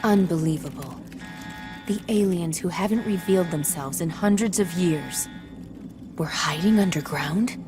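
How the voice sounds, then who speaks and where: A woman's voice: fairly low pitch, a little slow, over music, close to an everyday voice. Woman as a cool, terse android soldier. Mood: stunned. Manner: terse, restrained. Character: cool, stoic young female android soldier. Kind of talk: video game voice acting.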